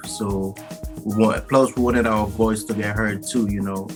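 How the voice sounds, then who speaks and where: A man from Sierra Leone, a musician, talking in a laid-back, playful way as a guest in a casual interview. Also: fairly low pitch.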